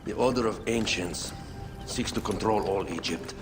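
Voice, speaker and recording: An actor playing a grave older royal adviser in a game cutscene, speaking in a measured, lecturing way. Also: male voice, on the low side, lightly acted. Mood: solemn. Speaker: queen's adviser. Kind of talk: video game cutscene acting. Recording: reverberant game audio with music.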